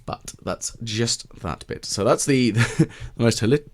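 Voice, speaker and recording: A British man with a deep voice giving relaxed, meandering gameplay commentary with gentle, wry asides. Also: unhurried. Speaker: let's play video host.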